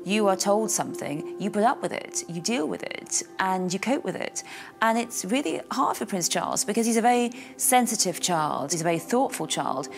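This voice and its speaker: A mid-pitched woman explains earnestly, plainly and steadily. Clear music plays under her words. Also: animated, moderate pace. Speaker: commentator. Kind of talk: documentary interview.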